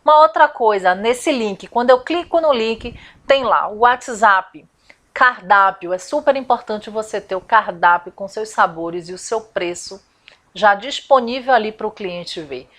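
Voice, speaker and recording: A woman's voice: medium pitch, expressive, unhurried, a bit bright. A woman from Salvador, giving a chatty step-by-step lesson as a confectioner and business coach, often asking rhetorical questions. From Salvador, Brazil. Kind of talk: informal instructional video.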